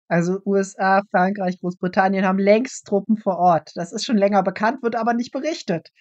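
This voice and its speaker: A woman's voice: medium pitch, fairly quick, a little mellow, no echo, highs cut off. A blunt young woman on a live political reaction stream, sounding indignant in a dry, close room. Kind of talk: casual opinionated commentary.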